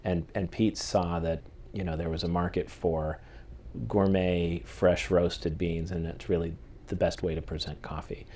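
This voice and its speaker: A man's voice: low voice, level tone, a little slow, mellow, slight background noise. A man hosting an interview, asking questions through a conversational anecdote. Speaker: interviewer, host.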